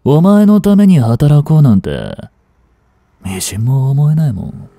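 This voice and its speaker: A man acting as a cynical, aloof young street delinquent in a voice drama, with rough, casual, lazily teasing speech, close to the mic. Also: on the high side, very animated, unhurried, warm tone, dry recording, exaggerated voice. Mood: aloof, dismissive. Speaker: voice actor. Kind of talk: Japanese voice drama aimed at women, one-sided dialogue. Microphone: close studio mic. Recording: dry close-miked studio recording.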